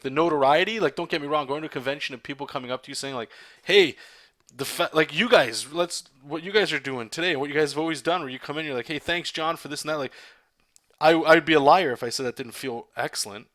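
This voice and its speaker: A man's voice: a little high, a bit bright, no room sound. Canadian guy streaming while he inks, talks loose and candid, lots of "like", dead dry sound. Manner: rambling, candid advice, frequent restarts and "like". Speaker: artist streaming a drawing session. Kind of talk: casual livestream chat while inking.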